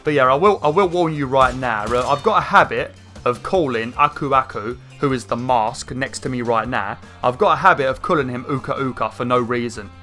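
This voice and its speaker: Croaky man chatting over gameplay. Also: medium pitch, brisk, hardly any pauses. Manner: rambling, chatty, many fillers, excited outbursts. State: croaky throat. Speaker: gaming channel host. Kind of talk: casual gameplay commentary. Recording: faint game music behind. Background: game music.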